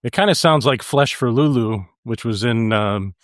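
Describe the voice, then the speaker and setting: A man's voice: mid-range voice, moderate pace. A man talking casually about music as one of a podcast's hosts. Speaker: podcast host.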